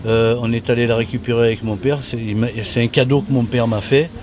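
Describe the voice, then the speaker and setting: A man in his sixties with a mild Toulon accent, a former racer recalling his past in a halting, restart-filled way. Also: mid-range voice, level tone, a little mellow, slight background noise. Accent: mild Toulon southern French. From Toulon, southern France. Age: 60s. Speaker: former motorcycle racer, guest. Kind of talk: casual interview reminiscence. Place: at a racing circuit.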